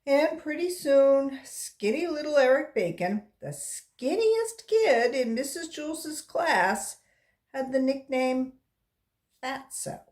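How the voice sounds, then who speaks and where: A woman reading a children's storybook aloud with deliberate, expressive phrasing and brief asides to the listeners. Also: high-pitched. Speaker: volunteer children's story reader.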